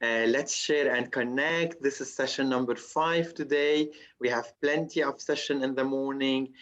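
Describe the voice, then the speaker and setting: Male online host for welcomes and introductions, medium pitch, slightly dull video-call sound. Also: fairly even intonation, a little slow, fairly bright tone. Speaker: webinar host.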